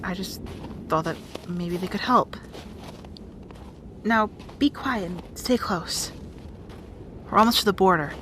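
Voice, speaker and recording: A woman's voice: fairly low pitch, slow, long pauses, noisy background. A woman playing a brusque, guarded young rat princess in an audio roleplay drama, bossy and conversational. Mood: guarded, serious.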